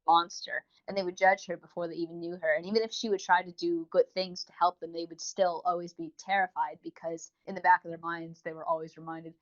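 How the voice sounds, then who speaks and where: A woman's voice: on the low side, level tone, a little fast, few pauses. A woman co-hosting a podcast, giving a rambling, enthusiastic review in a casual, chatty style. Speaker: podcast co-host. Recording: dull lo-fi voice recording.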